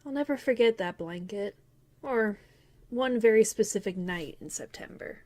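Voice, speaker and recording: A woman's voice: medium pitch, normal speed, a little mellow, natural voice. A woman playing a grown-up wistfully recalling childhood, narrating a scripted first-person story in an earnest, reflective way.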